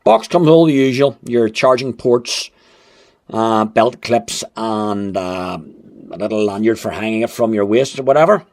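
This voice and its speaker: A man's voice: fairly low pitch, moderate pace, darkish tone. Chatty man reviewing gadgets. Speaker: amateur radio gadget reviewer. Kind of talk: casual hands-on product review. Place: indoors at home.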